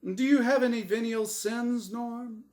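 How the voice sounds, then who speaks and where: A man's voice: extremely high pitch, measured pace, a little mellow, pitched above the performer's own voice. A man voicing a smug, showy angel who hosts a game show, with theatrical, emphatic patter in a storybook reading. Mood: smug, teasing. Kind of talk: storybook character voice in dramatic reading.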